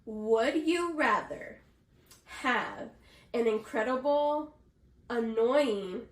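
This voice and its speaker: A woman's voice: on the high side, animated, drawn-out words, recorded from a distance. A woman co-hosting a podcast in casual chat, playful and often echoing her partner's words. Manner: agreeable, echoes her co-host, playful. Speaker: podcast co-host. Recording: echoey room, away from the mic.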